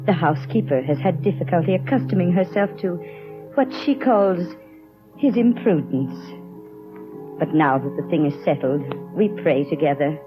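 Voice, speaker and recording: A gentle, devout gentlewoman speaks in a low, composed and formal voice. The 1930s radio acting comes through a muffled old recording with music behind it. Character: gentle, devout, loyal sister of a bishop.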